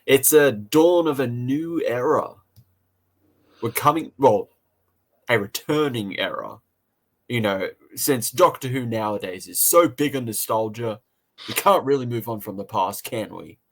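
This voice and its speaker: An Australian man hosts a casual review show. His pitch sits in the middle of the male range. He talks in a chatty, informal way and likes to tease and joke. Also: lively intonation, measured pace. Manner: jokey, teasing. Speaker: podcast review host.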